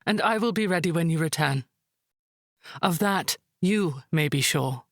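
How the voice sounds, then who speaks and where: A woman playing a proud, resolute high priestess, formal and composed, in a visual novel drama. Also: lively intonation, a little slow, no echo, studio quality. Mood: coolly defiant.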